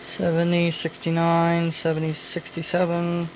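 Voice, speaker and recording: A woman talking casually through a hands-on repair demonstration, halting and thinking aloud as she goes. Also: lowish voice. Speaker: vintage electronics repair hobbyist. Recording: noisy home video, steady background noise. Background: clear background noise.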